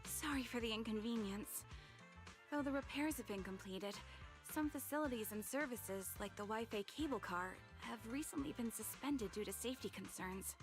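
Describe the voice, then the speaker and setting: An actress playing a polite, apologetic service attendant in a video game, with a courteous and formal manner. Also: female voice, a little high, moderate pace, music in the background, natural voice.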